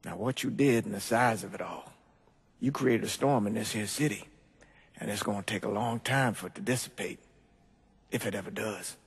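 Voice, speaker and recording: An actor playing a weary, morally stern older priest in a game drama, husky and earnest, with a Southern American accent. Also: male voice, fairly low pitch. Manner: grave, earnest, halting. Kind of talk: dramatic video game acting.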